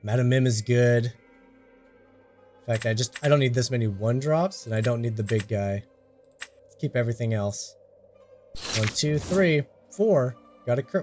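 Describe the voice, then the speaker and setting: A man's voice: medium pitch, a little mellow. A man commentating his card game play while sick and losing his voice, chatty as he explains his choices. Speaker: card game content creator. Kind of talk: casual gameplay commentary.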